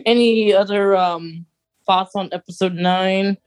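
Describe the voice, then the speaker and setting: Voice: mid-range voice, fairly even intonation, measured pace. A podcast co-host talking in a casual, conversational way about fan lore. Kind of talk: casual chat about Star Wars lore.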